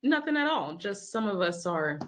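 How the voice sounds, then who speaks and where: A woman playing a composed, pointed hospital nurse in a screenplay table read, speaking in a measured way. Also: mid-range voice, big pitch swings, lightly acted. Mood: cool, pointed.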